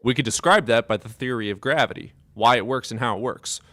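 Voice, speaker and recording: A man's voice: medium pitch, fairly quick, fairly bright tone, dry recording, studio quality. A man hosting a live stream debate, blunt and impatient, cutting in on callers. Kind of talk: combative debate.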